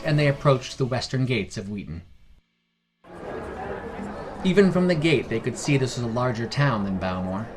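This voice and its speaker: A man reading scripted fantasy story narration as the narrator of a fiction podcast. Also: medium pitch. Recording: music behind the voice. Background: background music.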